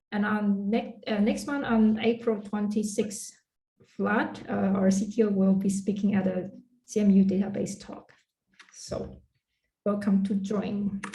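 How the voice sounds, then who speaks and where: A woman's voice: mid-range voice, warm tone, reverberant, rough recording. A woman hosting an online community meetup, speaking in a friendly, hesitant way. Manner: friendly, hesitant, with frequent fillers and restarts. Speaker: meetup host.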